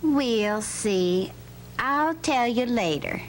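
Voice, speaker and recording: A woman's voice: on the high side, lively intonation, extremely slow. A woman playing a headstrong, coy young cowgirl bride in an educational radio drama. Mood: coy, teasing. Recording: hum and faint background noise.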